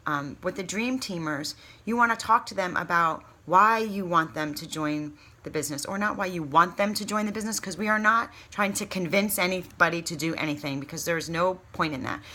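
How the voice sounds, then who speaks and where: A woman's voice: fairly low pitch, brisk, hardly any pauses, sharp tone. A woman giving an informal training talk in a chatty, candid, encouraging and emphatic way, like a coach talking to new consultants. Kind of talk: informal training talk with acted sample pitches.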